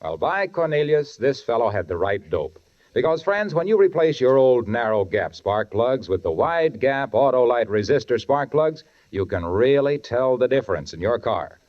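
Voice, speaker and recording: A man's voice: mid-range voice, expressive, moderate pace. A male announcer delivering 1940s radio advertising and announcements with folksy, punchy salesmanship. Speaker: announcer and sponsor pitchman. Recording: dull 1940s radio broadcast, music behind.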